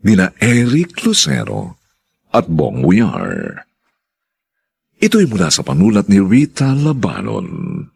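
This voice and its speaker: Radio drama narrator: Filipino male, gravelly, grandiose and solemn, slow delivery with huge pitch swings. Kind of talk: theatrical scripted narration.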